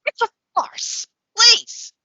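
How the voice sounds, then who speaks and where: A woman's voice: drawn-out words, sharp tone, dry recording, exaggerated voice. A woman playing a brash, indignant female wrestling manager in an amateur comedy audio play, protesting emphatically. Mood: indignant, accusing. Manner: emphatic, foul-mouthed protesting. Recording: dry, dull lo-fi home recording.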